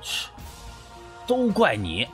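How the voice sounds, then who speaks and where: A man's voice: fairly high pitch, wildly swooping pitch, drawn-out words, faint music behind, exaggerated voice. A man playing a stubborn, suspicious young man in an audio story, brooding and scolding. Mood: accusing.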